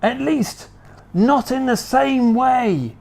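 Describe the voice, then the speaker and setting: An excitable farmer father in a storybook, shrill and hurried as the reader does his voice. He jumps high and stays frantic. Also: vocal fry, full character voice. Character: excitable fussy middle-aged farmer father.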